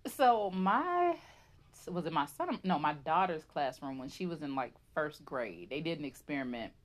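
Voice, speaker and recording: A woman co-hosting a casual chat show, her voice a touch low and nasal from a stuffy head cold. She tells stories in an animated, chatty way and laughs easily. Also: moderate pace. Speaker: podcast co-host.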